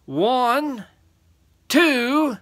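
Man voicing a huge mean booming storybook giant. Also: lively intonation, fairly bright tone, exaggerated voice. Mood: menacing. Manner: booming, mocking, drawn-out threats. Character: huge, mean, ugly male giant, bullying and menacing.

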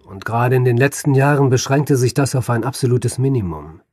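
An actor playing a grave, melancholic doctor with a measured, understated delivery in a German radio play. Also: male voice, mid-range voice, darkish tone, breathy edge, no echo, clean studio sound, lightly acted. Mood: grave, reflective. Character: grave, melancholic family doctor recounting a patient's fate.

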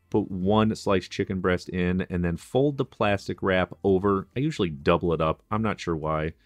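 Chef narrating a recipe, low male voice, dry and close, clear practical instruction. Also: dry recording. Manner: instructive, friendly, practical kitchen tips. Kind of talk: cooking tutorial voice-over.

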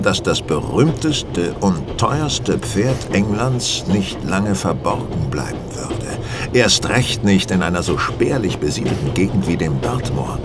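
An actor playing a shrewd, self-assured male detective, explaining precisely with dry superiority in a radio play. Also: low-pitched. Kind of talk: German radio play dialogue. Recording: loud train and vehicle noise behind.

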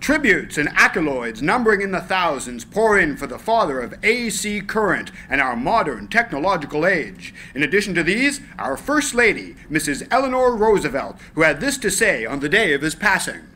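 A man's voice: fairly high pitch, very animated, moderate pace, hardly any pauses, pushed and bright, a little hiss or hum. A man reading the part of a 1940s newsreel announcer at a script reading. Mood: stirring. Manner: stirring announcer delivery.

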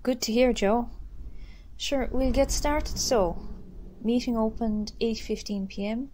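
A woman's voice: fairly high pitch, fairly even intonation, takes it slowly, mellow, a little hiss or hum. An Irish woman playing a mild committee chairwoman in an amateur radio drama. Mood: businesslike. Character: mild committee chairwoman who keeps meetings short.

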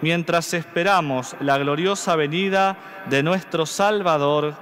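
Slow solemn Argentine priest, echoing church. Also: male voice, on the high side, fairly bright tone, a little hiss or hum. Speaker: priest celebrating mass. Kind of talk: liturgical prayer. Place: large church. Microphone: stand mic at altar. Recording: long church reverb.

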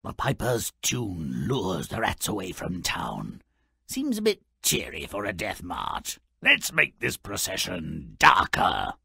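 An actor playing a gleefully malicious, sardonic little fairy-tale troublemaker in a video game, with a raspy, gravelly voice and a theatrical, gloating delivery. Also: male voice, low-pitched, huge pitch swings, slow pace, no room sound, clean studio sound, heavily acted. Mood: sly, sardonic. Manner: sardonic, gloating, theatrical, swings from murmur to shout. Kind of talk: theatrical scripted game narration.

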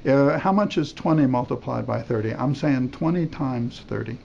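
A man's voice: mid-range voice, animated, normal speed, vocal fry, a little mellow. An older man, around his sixties, questioning a patient as a doctor during a clinical exam. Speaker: doctor giving a cognitive exam. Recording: dull, faintly noisy room recording.

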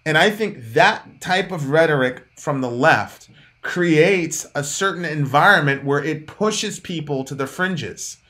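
Bright-voiced man from Jamaica, thirties, animated debater on webcam call, somewhat high voice. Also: measured pace. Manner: animated, emphatic, gesturing. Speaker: co-host. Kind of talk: heated political debate. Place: dark room at home.

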